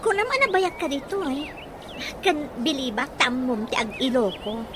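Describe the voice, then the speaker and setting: A woman's voice: sits high, sing-song, normal speed, noisy background. An actress playing an ambitious, scheming young woman in radio drama style, with an Ilocano accent. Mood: coy.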